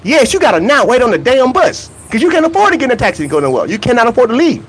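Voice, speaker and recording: A man's voice: very high voice, sing-song, racing, husky. A young man rants in a casual, comedic way about track and field. He laughs between points and keeps asking rhetorical questions.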